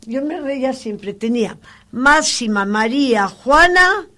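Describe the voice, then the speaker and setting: Halting older woman recalling her past. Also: high-pitched, expressive, noisy background. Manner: halting, repetitive, wandering recollection. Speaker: interviewee. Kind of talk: oral history interview.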